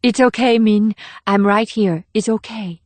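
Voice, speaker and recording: A woman's voice: mid-range voice, unhurried, no echo, natural voice. A woman voicing a protective adult woman who speaks in brief, reassuring phrases in an audiobook. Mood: soothing. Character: protective, soothing adult woman, secret agent. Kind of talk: audiobook character dialogue.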